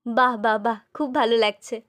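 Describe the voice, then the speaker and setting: A caring elder sister doll from a children's doll story, voiced by a woman. Her voice is high, and she talks in a homely, chatty way, gently giving instructions as she runs the household. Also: fairly even intonation, a little fast, lightly acted. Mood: brisk, homely. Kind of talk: doll-play storytelling dialogue.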